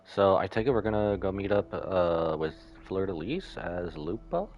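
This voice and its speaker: A man's voice: sits low, expressive, normal speed, lo-fi sound. A man livestreaming a story game, reacting casually and playfully with dramatic exclamations. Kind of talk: casual live gaming reaction commentary. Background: game music.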